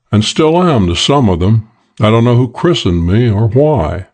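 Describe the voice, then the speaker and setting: Dry, low-voiced male narrator. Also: a little mellow. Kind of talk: first-person scripted narration.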